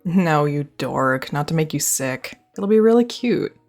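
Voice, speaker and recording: Young woman doing a girlfriend roleplay, low voice w some fry, soft music behind. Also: animated, unhurried. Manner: affectionate, teasing, reassuring. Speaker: audio roleplay performer.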